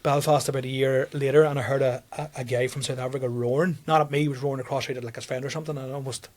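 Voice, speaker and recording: A man's voice: medium pitch, level tone, racing. A young man, a comedian telling a story on a podcast in a rambling way, repeating words and laughing often. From Northern Ireland. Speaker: comedian guest.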